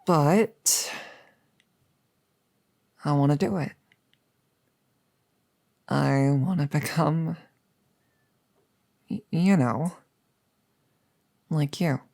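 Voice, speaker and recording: A woman's voice: low-pitched, very slow, gravelly, pauses often, mellow, no room sound, heavily acted. A woman playing a nervous but resolute girlfriend in an intimate ASMR audio roleplay, speaking in a hesitant, rambling, earnest way. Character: nervous, resolute woman about to become a vampire.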